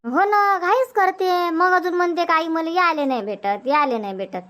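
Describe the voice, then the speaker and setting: A high-pitched, fussy village mother acted by a woman for a Marathi comedy cartoon. She has a Vidarbha accent and nags in a chatty, repetitive way, pressing her point insistently. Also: normal speed, fairly bright tone. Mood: coaxing, nagging. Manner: chatty, nagging, repeats herself. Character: fussy, insistent middle-aged village mother urging daughter to stay. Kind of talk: homemade comedy cartoon dubbing.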